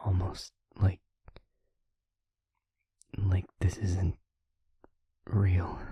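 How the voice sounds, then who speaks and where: A man playing an anxious, tender, protective young vampire boyfriend in an intimate audio roleplay, speaking haltingly and often in a whisper. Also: low-pitched, dark tone, slightly rough, no echo, exaggerated voice. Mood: soft, troubled.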